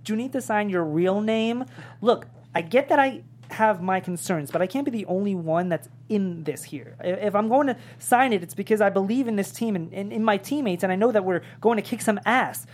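An actor reading an earnest young male gamer and high-school athlete in a script table read, conversational and husky. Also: brisk, a little mellow, lightly acted.

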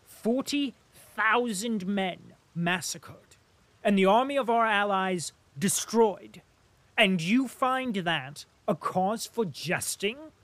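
Man playing a stern young male officer, sharp, indignant, loud, slightly raised pitch, audiobook. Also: lively intonation, slow pace, no room sound, exaggerated voice. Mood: sharp anger. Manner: sharp, indignant, trembling jaw.

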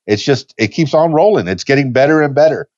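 A man's voice: medium pitch, animated, fairly quick, a little mellow, no echo, highs cut off. A man in his fifties hosting a casual podcast chat, easygoing and rambling, with frequent restarts. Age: 50s. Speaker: podcast host.

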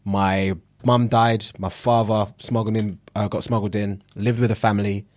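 A man's voice: on the low side, little pitch movement, normal speed, darkish tone, no echo. A man with a London British accent, co-hosting a podcast in a casual, slangy conversational way, repeating phrases for emphasis. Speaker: podcast co-host.

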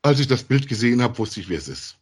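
A man's voice: mid-range voice, very animated, fast talker, a little mellow, slightly rough. Casual male podcast co-host. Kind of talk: casual chat about audio drama voice actors.

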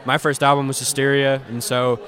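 A seventeen-year-old man in a casual outdoor interview, his voice sitting at an ordinary middle pitch. He talks in a relaxed way, filling gaps with plenty of ums. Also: fairly quick, crowd noise. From Memphis area, US. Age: teens. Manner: relaxed, many um fillers. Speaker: band drummer, guest.

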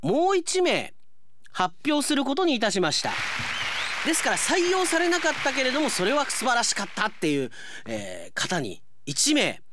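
A man hosting a radio show as announcer, talking conversationally and reading out listener mail in an animated way. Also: extremely high pitch, normal speed, some chatter behind.

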